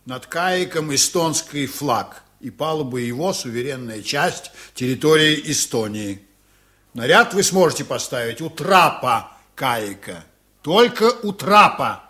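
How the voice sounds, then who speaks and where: A man's voice: on the high side, expressive, a little slow, sharp tone, full character voice. A man acting a proud, stubborn sea captain in a radio drama, speaking firmly and formally with ironic bite. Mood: firm, indignant.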